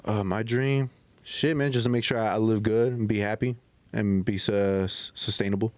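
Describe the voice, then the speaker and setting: A man co-hosting a podcast in casual chat, halting and repeating words as he searches for the next one. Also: lowish voice, steady tone, a little mellow, dry recording. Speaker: podcast co-host.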